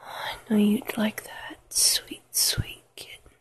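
A woman whispering an intimate, sensual erotic monologue to the listener in a breathy, drawn-out way. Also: mid-range voice, huge pitch swings. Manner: slow, sensual, drawn-out delivery with soft moans.

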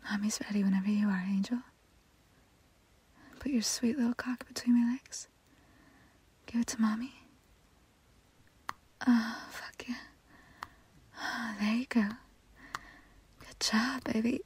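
Woman, soft breathy whisper, husky and dark, unhurried delivery. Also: on the high side, steady tone. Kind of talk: intimate whispered roleplay audio.

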